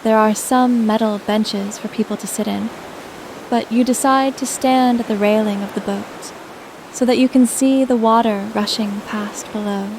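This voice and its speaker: A woman narrating a scripted guided sleep meditation in a soothing, gentle manner. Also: on the high side, steady tone, normal speed, noisy background.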